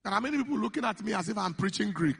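A man preaching a sermon, stressing key phrases and repeating them slowly. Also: high voice, expressive, gravelly, fairly bright tone, breathy edge. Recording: echoey hall.

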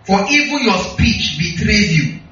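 A man's voice: high voice, big pitch swings, measured pace, sharp tone, slight background noise. A man from northern Nigeria with a Nigerian accent, preaching a sermon and often putting questions to the congregation. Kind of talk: sermon with call and response. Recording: echoey, distant, slightly muffled hall recording.

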